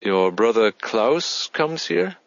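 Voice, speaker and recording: Man voicing a bubbly Spanish woman receptionist, raised pitch. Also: animated, normal speed, no echo, dull audio. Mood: bubbly, helpful. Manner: chatty, apologetic, businesslike. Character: effervescent, polite Spanish woman escort agency receptionist.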